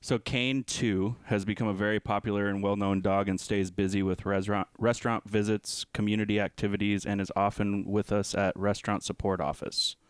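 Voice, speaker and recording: A man reading a passage aloud in a flat way during a podcast. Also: on the low side, brisk, few pauses, clean tone, no echo, clean studio sound.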